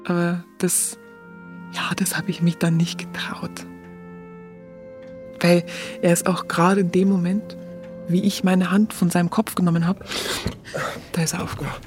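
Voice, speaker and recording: A woman playing a tender, earnest young Munich woman with a Bavarian accent, confiding haltingly in a radio drama. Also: lowish voice, flat delivery, a little mellow, natural voice. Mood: tender, moved. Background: music.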